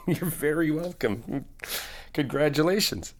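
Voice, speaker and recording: A young man in an ASMR comfort roleplay, talking to the listener as a reassuring friend in a chatty way with soft laughs. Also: a little high, animated, slow.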